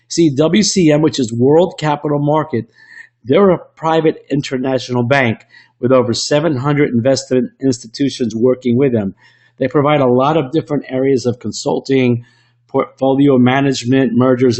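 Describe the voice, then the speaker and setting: A man with a slightly rough voice from illness, presenting an online webinar into a computer mic in a salesy way with frequent restarts. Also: mid-range voice, a little mellow. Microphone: computer microphone.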